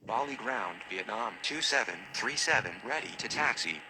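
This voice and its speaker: A man's voice: mid-range voice, animated, normal speed, noisy background. A man reading scripted, formulaic aviation radio phraseology. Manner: formulaic callouts. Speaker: air traffic radio voice in a simulator.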